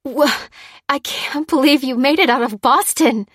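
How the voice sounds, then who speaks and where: A woman voicing a wry, quick-witted heroine in audiobook dialogue. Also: a little high, no room sound, clean studio sound, natural voice. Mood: startled.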